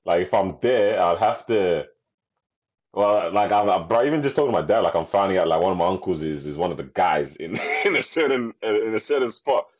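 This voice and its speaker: A man's voice: sits low, animated, fairly quick. A man co-hosting a podcast in casual chat, slangy and laughing often, calling his friends bro. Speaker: podcast co-host. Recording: dull lo-fi video call audio.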